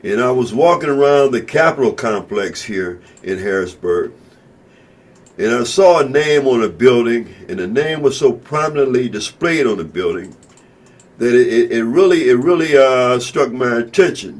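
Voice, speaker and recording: A man's voice: mid-range voice, animated, normal speed. A man hosting an internet radio show, talking in a rambling, casual way with many fillers and repeated phrases. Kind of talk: casual talk-radio monologue.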